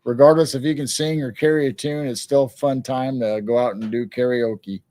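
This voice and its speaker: A man's voice: medium pitch, steady tone, normal speed, mellow, dry recording. A man co-hosting a casual live-stream chat, rambling with frequent restarts. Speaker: co-host.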